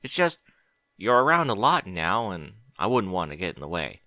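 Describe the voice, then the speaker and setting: Young male storybook dragon played by a man: chatty, questioning, slightly unsure, animated pitch, dry close sound. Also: normal speed, no room sound, heavily acted. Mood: nervous, hesitant. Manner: colloquial, hesitant, questioning. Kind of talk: audiobook character dialogue.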